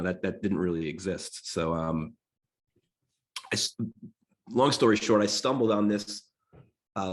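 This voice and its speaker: An American man in his forties, nasal from a cold and clearing his throat, gives a loose, rambling talk to a design class. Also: fairly low pitch. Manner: loose and rambling, full of restarts and fillers. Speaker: guest designer giving a talk.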